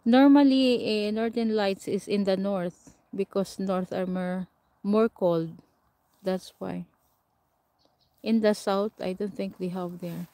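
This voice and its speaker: Slow-talking Filipina woman chatting on a livestream. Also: medium pitch, fairly even intonation, mellow, no room sound, poor audio. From Philippines. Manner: chatty, reads and answers viewer comments, laughs often. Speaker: livestream host. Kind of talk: casual livestream chat with viewers. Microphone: camera or phone mic set a short way off.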